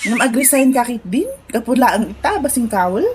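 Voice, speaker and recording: An actress with an Ilocano accent playing a concerned, pleading friend and co-worker in a comedy radio drama. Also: female voice, on the high side, expressive, a little fast, a little mellow, a little hiss or hum, close to an everyday voice. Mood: worried, pleading.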